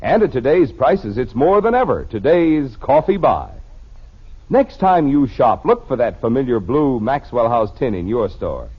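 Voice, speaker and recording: An American man announcing a vintage radio advertisement, with a husky voice and a polished, emphatic sales delivery. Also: medium pitch, sing-song, a little slow. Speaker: commercial announcer. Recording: dull vintage radio recording.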